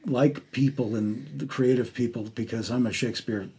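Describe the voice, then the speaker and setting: A man hosting a casual arts interview, speaking haltingly and often restarting his sentences. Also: mid-range voice, fairly even intonation, mellow, breathy edge. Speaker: interviewer and podcast host.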